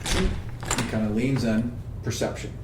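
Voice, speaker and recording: A man's voice: mid-range voice, animated, a little slow, recorded from a distance. Man game master in a noisy, echoey room. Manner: informal, swearing. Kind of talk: improvised tabletop game narration with casual chat.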